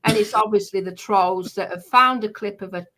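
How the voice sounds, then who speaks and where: Bright-voiced woman explaining casually. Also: mid-range voice, moderate pace, slight background noise.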